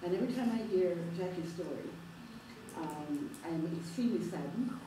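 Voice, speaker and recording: A middle-aged woman with a Jamaican accent, giving an earnest, halting town hall speech full of fillers and restarts. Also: fairly low pitch, lively intonation, distant mic. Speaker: civil rights advocate speaking at a town hall. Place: public meeting hall. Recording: roomy hall recorded from afar, noisy.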